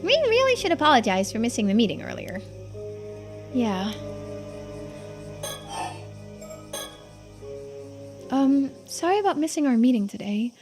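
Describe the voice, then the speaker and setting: A high-voiced, earnest young woman in a story game, played by a woman reader. She speaks politely and softly, with an apologetic hesitancy. Music plays underneath. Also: wildly swooping pitch, a little fast. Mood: apologetic, evasive. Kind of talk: voicing game dialogue in a playthrough.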